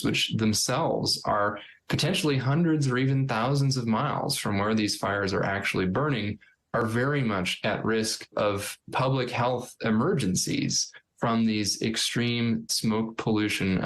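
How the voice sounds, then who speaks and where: Expert interview clip, serious and measured, mid pitch. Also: rough recording. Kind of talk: interview soundbite.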